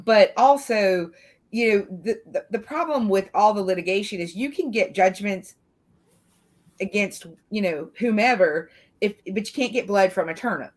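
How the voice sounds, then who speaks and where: A woman's voice: medium pitch, moderate pace. Woman from the American South, rambling talker. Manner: rambling, frequent "you know". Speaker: legal commentator on a panel. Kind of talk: casual true-crime discussion.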